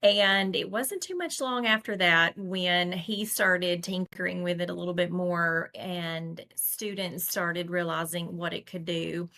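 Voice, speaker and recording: This is a woman with a Southern accent from Tennessee, somewhere in her forties. Her tone is bright and crisp. She talks in a chatty, storytelling way. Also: on the low side, a little slow, hardly any pauses. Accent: Southern American. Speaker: guest, English teacher. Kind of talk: casual conversation.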